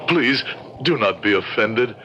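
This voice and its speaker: An actor playing an earnest sculptor in an old-time radio drama, courteous and halting, pleading with an unsettling fixation. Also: male voice, mid-range voice, expressive, pushed and bright. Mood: courteous, insistent. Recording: muffled, noisy old radio recording.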